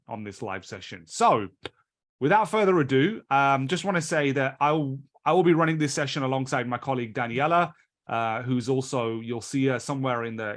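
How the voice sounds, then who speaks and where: A British man runs a live online training session, talking into a computer mic on a video call. His voice sits at a mid pitch, and his delivery is chatty and loose, often circling back to restart a phrase before carrying on.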